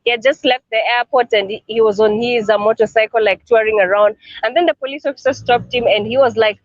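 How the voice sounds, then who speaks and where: A man's voice: sits high, steady tone, fast talker, dry recording, highs cut off. A man showing city streets outdoors on a call, talking hesitantly with many fillers. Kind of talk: casual chat on a call.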